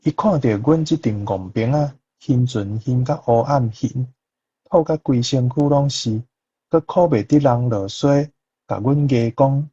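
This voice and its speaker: A man with a Taiwanese accent gives example sentences as a language teacher. His voice is husky and dark, on a dry, muffled lo-fi recording. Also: medium pitch, a little slow, no echo. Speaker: Taiwanese language teacher giving a model translation. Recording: dull lo-fi insert clip.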